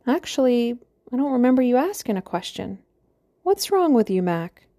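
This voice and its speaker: A woman's voice: a little high, sing-song, moderate pace, mellow, voice pushed up. A woman voicing a matronly, teasing small-town postmaster with a Midwestern accent and a chatty, joking manner.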